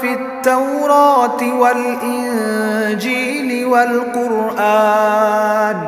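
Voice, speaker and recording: Man chanting slowly in a reverberant hall. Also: very high-pitched, fairly even intonation, a bit bright, faint music behind. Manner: long drawn-out sung phrases. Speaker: reciter. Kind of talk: slow melodic chanted scripture recitation. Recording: echoey hall with long reverb.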